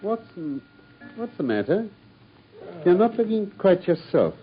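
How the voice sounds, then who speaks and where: An actor playing a sharp, brilliant male detective who talks in a brisk, commanding way, in a BBC radio drama. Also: sits high, faint music behind. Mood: animated. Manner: brisk, incisive, commanding, lively intonation. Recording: dull lo-fi old radio broadcast.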